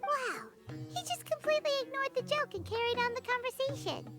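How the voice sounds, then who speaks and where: Tiny cheeky fairy girl for an anime game, super high squeaky voice, really animated, loud music behind. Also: fairly quick. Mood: excited. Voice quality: squeaky, childlike. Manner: exclamatory, teasing. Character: small, chirpy, cheeky fairy companion girl. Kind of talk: anime game dub acting.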